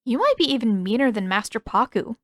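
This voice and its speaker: A curious young pupil played by a woman reader in a storybook reading, grudging yet playfully teasing. Her female voice is slightly raised in pitch and recorded dry. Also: big pitch swings, fairly quick, a bit bright, no room sound. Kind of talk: acted audiobook dialogue.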